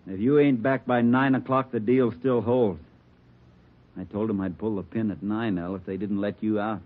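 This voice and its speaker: A man's voice: fairly even intonation, moderate pace, lightly acted. An actor playing a crazed male bomber with an American accent, curt and bullying, in a 1940s radio crime drama. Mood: cocky, commanding. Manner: curt, bullying, slangy commands. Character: crazed, menacing male criminal bomber holding police hostage. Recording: muffled, noisy 1940s radio recording, rumble.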